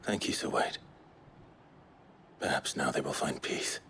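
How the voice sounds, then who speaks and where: An actor playing a solemn, dutiful young nobleman warrior in a video game, with a low, breathy delivery. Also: unhurried, sharp tone, slight background noise, full character voice. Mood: grave resolve. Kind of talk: dramatic video game acting.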